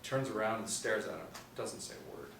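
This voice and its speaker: A man's voice: lowish voice, lively intonation, a little fast, creaky, a bit bright. A man in his forties reading scripted stage directions as a narrator. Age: 40s. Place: small room indoors. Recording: roomy, distant mic, faint noise.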